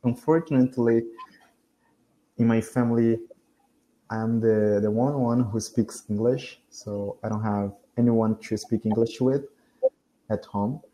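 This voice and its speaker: A man's voice: mid-range voice, steady tone, a little slow, long pauses, warm tone, poor audio. A young man whose first language is Portuguese, practicing English on a video call, hesitant and correcting himself often, with frequent laughs. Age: young adult. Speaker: English student. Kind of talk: casual conversation practice.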